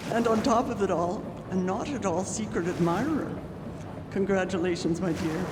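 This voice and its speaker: Woman acting genteel sympathetic lady, polite, articulate, mid-pitched, radio play, echoing noisy hall. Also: a little slow, natural voice. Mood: admiring, teasing. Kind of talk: stage radio drama acting. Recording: reverberant hall with clear background noise.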